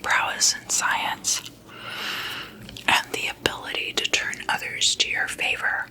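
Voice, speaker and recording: A woman whispers in an ASMR style, breathy and unvoiced, with a crisp, very bright hiss to her words. She takes her time, pacing each phrase slowly and deliberately. Her delivery is casual and chatty, like sharing gossip at a sleepover. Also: low-quality recording. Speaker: ASMR host.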